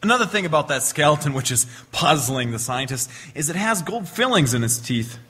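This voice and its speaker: An actor playing a confident, accusing police inspector in an old-time radio crime drama, insistent, wry and theatrical. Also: male voice, fairly high pitch, big pitch swings, brisk, pushed and bright, breathy edge, big-room sound, full character voice.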